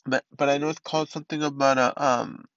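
A man's voice: mid-range voice, steady tone, fast talker, a bit bright, dry recording, highs cut off. A man in his twenties hosting a casual solo podcast, rambling with many restarts and fillers. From East Los Angeles. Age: 20s. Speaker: podcast host.

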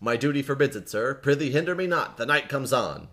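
A man's voice: mid-range voice, expressive, a little fast, a bit bright, voice pushed up. A man voicing a blustering, frightened town constable, pleading in archaic speech. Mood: stiff refusal.

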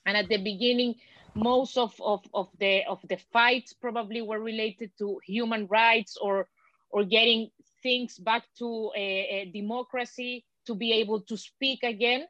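Chilean woman, guest, hesitant with many restarts, fairly high voice, dry dull lo-fi sound. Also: steady tone, moderate pace, a bit bright, dry recording. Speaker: podcast guest. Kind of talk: casual interview answers.

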